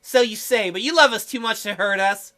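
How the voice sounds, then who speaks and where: Man playing a cheeky, bright-voiced young princess. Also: sits high, brisk. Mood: fond teasing.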